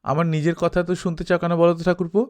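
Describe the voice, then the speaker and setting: A man's voice: a little high, level tone, racing, a little mellow, pitched above the performer's own voice, lightly acted. A man voicing a playful, teasing young woman, a secretive sister-in-law, in a dramatised Bengali novel reading. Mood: mock anger. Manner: teasing, mock-scolding.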